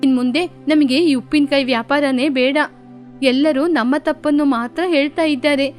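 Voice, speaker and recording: A woman's voice: high-pitched, steady tone, normal speed, lightly acted. A woman voicing a stern but caring female bird mother who scolds her daughters. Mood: resigned. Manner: stern, firm. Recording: faint music behind.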